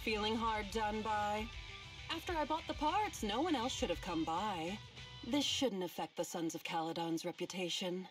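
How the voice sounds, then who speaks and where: A woman's voice: mid-range voice, normal speed, far from the mic, lightly acted. An actress playing a cynical, predatory cat-girl mercenary in an anime game, taunting and haughty, over game music. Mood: cool, dismissive. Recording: game audio under music.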